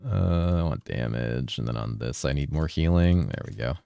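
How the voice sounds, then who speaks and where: A young man streaming a video game, chatting casually with his viewers in a relaxed, rambling way, with a deep voice he holds slightly higher than its natural pitch. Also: moderate pace, gravelly, dry recording. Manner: laid-back, rambling, swears a lot, reads chat aloud. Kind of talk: casual live-stream chat while gaming.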